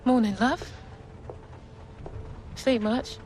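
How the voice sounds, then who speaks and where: A woman's voice: a little high, steady tone, drawn-out words, darkish tone, a little hiss or hum. A woman playing a middle-aged British mother in a game cinematic, firm and loving. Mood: tender. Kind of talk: video game cinematic acting.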